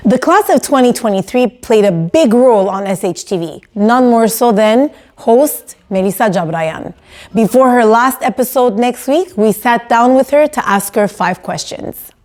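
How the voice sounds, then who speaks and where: A woman reading a measured, announcer-like scripted narration that introduces a segment of a school show. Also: a little high, animated, a little mellow, faint music behind.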